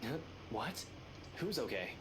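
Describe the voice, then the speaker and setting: A man's voice: medium pitch, sing-song, slow, noisy background. An actor playing a brusque young man in a visual novel, dry, defensive and conversational. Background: game music.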